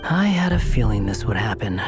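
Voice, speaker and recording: A man's voice: lowish voice, very animated, fairly quick. A man playing an aloof, cynical young man in a video game, with a dry, sardonic manner. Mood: wry. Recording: game music behind.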